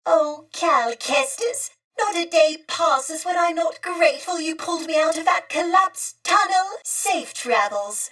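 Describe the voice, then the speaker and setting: Prim polite lady droid for a video game, really high voice, warm n formal, bit distant from mic. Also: expressive, unhurried, hardly any pauses, exaggerated voice. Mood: wistful. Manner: prim, formal, courteous diction. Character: polite, warm, old-fashioned female droid, wistful and earnest. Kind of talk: video game character acting.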